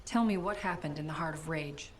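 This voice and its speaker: A woman playing a composed, probing intelligence agent in video game cutscene acting, measured and questioning. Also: deep voice, slight background noise, lightly acted. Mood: cool, probing.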